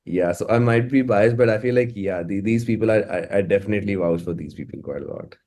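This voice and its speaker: Casting: Indian-accented man, low mellow voice, informal interviewee who doubles back on his words. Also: quick pace. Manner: informal, stammering repetition of words as thoughts form. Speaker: musician and music producer being interviewed. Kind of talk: casual interview chat.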